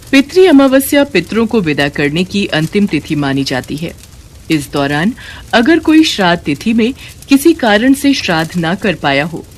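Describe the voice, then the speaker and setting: A woman storyteller reading a scripted devotional narration. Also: mid-range voice.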